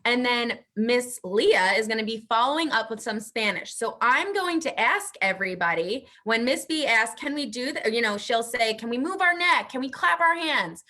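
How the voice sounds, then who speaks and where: A woman's voice: a little high, expressive, normal speed, few pauses, bright, forceful tone. A woman teaching bilingually at an interactive children's story time, upbeat and encouraging.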